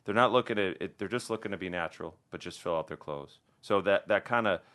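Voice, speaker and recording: A man from the American Midwest, a plastic surgeon speaking as a podcast guest in relaxed casual conversation, full of restarts and fillers. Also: sits low, fast. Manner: relaxed, conversational, many restarts and "you know".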